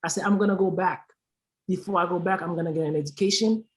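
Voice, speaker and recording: A woman's voice: fairly low pitch, fairly even intonation, quick pace. A woman from Haiti speaking as a panel guest, impassioned and emphatic, repeating phrases for stress. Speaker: aid organization founder, panel guest.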